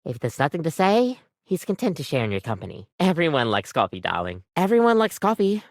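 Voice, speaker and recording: A woman's voice: low-pitched, huge pitch swings, a little fast, no room sound, studio quality, full character voice. A woman voicing a friendly, chatty coffee maker come to life as a barista in a video game, with bubbly, slightly awkward customer patter. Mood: familiar, teasing. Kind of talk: animated video game voice acting.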